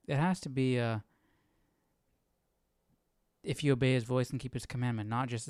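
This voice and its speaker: A man's voice: mid-range voice, a little mellow, no echo, clean studio sound. A man hosting a Bible study livestream, casually thinking aloud in a questioning, hesitant way.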